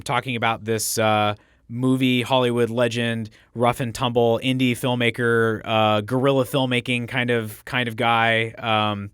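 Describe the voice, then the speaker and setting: A man's voice: mid-range voice, a bit bright, clean tone, no room sound, clean studio sound. A man with a nasal voice co-hosting a podcast, chatting casually with lots of fillers and rambling asides. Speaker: podcast co-host. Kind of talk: casual chat.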